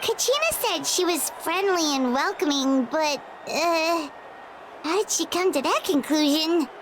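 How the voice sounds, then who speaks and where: A woman voicing a tiny, chirpy fairy companion in a video game, with a squeaky, childlike, cartoonish voice. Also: lively intonation, a little slow, background noise. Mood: curious, hesitant. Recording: loud music behind the voice.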